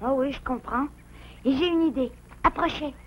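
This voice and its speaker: A woman voicing a very high, eager cartoon boy beaver. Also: moderate pace, muffled recording, a little hiss or hum, natural voice. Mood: curious. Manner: kind, eager, playful. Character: cheerful young boy beaver hero. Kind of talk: French cartoon dubbing.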